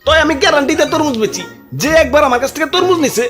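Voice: high voice, animated, very fast. An actor voicing a pushy, crafty male street vendor in a comic cartoon, with sing-song hawking and brusque haggling. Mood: stubborn. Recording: music behind the voice.

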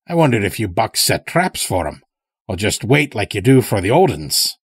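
Guy doing a sly old folksy storybook elder, taunting, pitch jumps all over, kinda husky. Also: sing-song, moderate pace, no echo. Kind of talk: dramatic audio story character.

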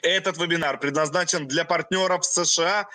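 A young man from Russia, a company president giving a webinar in short phrases and pausing for his interpreter. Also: a little high, steady tone, pushed and bright, no room sound. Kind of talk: webinar presentation.

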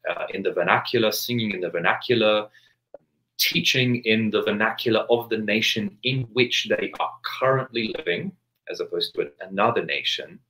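Guest priest, 30s, from England, low voice, careful and hesitant, video-call sound. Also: male voice, pushed and bright, low-quality recording. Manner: measured, many restarts and hesitations. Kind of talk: thoughtful interview answer. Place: home study with bookshelves, video call.

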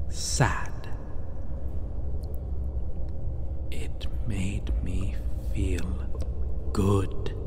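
A man playing a brooding, vengeful vampire in a gothic ASMR roleplay, hushed and halting, often slipping into a whisper. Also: lowish voice, noisy background. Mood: cold, gloating. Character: brooding, vengeful yandere vampire, beautiful and cruel male.

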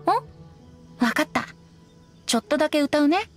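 A woman playing a youthful male wandering bard in a game, breezy and gently teasing. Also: sits high, moderate pace, soft background music, close to an everyday voice. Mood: playful. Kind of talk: Japanese game character acting.

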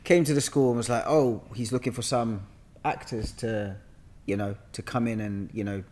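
A man's voice: medium pitch, normal speed. A man in his thirties with a London accent, telling slangy, joking anecdotes in casual conversation. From Hackney, London, England. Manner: joking, slangy, animated storyteller. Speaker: podcast guest, actor. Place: indoor studio room with sofa. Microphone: podcast mic on boom arm.

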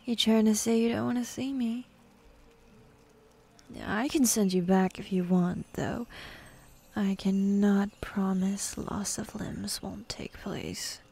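A young woman voicing a bossy, teasing demon woman in an intimate, half-whispered ASMR roleplay, with a husky, breathy voice and a sardonic drawl. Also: medium pitch, steady tone, slow pace, warm tone, heavily acted.

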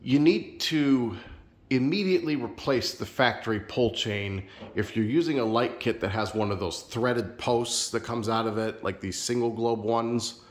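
A man filming a casual home vlog in his sunroom, a little nasal and stuffed up from a sinus infection, talking in a relaxed, rambling, friendly way. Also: mid-range voice, measured pace. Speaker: ceiling fan collector vlogger. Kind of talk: casual show-and-tell vlog.

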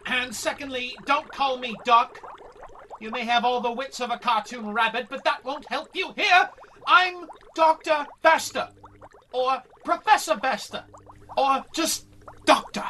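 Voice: high voice, measured pace, pushed and bright, a little hiss or hum. A performer playing an irritable, condescending physics professor in a radio comedy drama. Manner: stern, exasperated, condescending. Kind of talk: audio drama acting.

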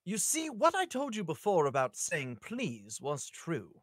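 Someone putting on a jaunty, animated swashbuckling hero. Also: medium pitch, measured pace, breathy edge, no echo, studio quality, full character voice. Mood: airy explaining. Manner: breezy, playfully theatrical. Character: jaunty, nonchalant young swashbuckling hero Wesley. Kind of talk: amateur table-read acting.

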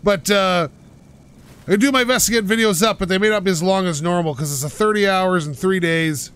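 A man talking over his own driving game playthrough with a slightly raised pitch. He pushes his voice out so it carries, which makes it sound a bit aggressive. He rambles casually and jokes at his own expense. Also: fairly quick, clear, resonant tone. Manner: pushes his voice out loud and energetic, rambling and self-mocking. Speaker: gaming channel host. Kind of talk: casual let's-play commentary. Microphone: home microphone while playing on a couch.

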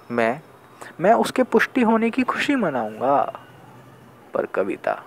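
A young man reciting spoken-word poetry in a deliberate, dramatic manner, with weighted pauses and repeated phrases. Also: mid-range voice, a little hiss or hum, poor audio. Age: young adult. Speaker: student poet.

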